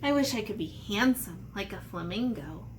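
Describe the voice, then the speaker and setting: A woman's voice: medium pitch, animated, normal speed, slight background noise. A woman teacher talking to children in simple, playful, step-by-step language, as in a read-aloud and drawing lesson. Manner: simple, child-directed explanations with playful asides. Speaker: elementary art teacher.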